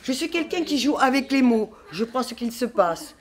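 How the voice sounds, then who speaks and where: A woman's voice: high-pitched, animated, normal speed, faint music behind. Woman performing playful rhyming slam. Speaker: slam poet. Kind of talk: playful spoken word.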